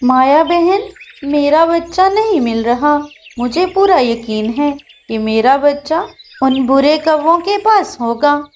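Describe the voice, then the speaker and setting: Gentle high-voiced mother sparrow played by a woman. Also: expressive, takes it slowly. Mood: worried. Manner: polite, motherly. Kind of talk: Hindi children's cartoon dialogue.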